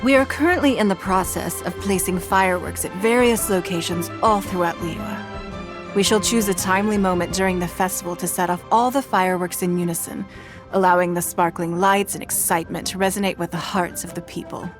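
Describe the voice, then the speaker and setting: Brief: woman voicing a poised, authoritative game noblewoman, calm and formal. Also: lowish voice, music in the background, close to an everyday voice. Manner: composed, measured and formal. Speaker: city leader. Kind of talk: video game voice acting.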